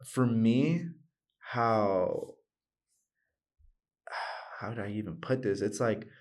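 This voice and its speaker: Young man, lowish voice, chatting informally. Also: very slow. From United States, of Mexican family. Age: 20s. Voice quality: loud voice. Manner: informal, talks in loose loops with frequent "like" and "you know". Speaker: interview guest, graduating student. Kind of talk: casual conversational interview answers.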